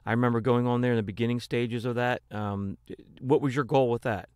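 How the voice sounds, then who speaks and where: A man's voice: medium pitch, fairly even intonation, fairly quick, darkish tone, smooth, no echo. Guy hosting a podcast over video call, rambly and jokey, dead dry sound. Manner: rambling, jokey, many restarts. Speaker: podcast host. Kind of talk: casual interview chat on a video call. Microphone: desk microphone on a video call.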